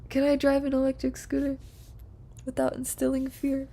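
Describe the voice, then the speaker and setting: A young woman streamer chatting softly and casually to viewers, with a whispery, giggly, playful manner. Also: high voice, monotone, normal speed, gravelly. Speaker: live streamer. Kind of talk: soft, casual sleep-stream chat with viewers.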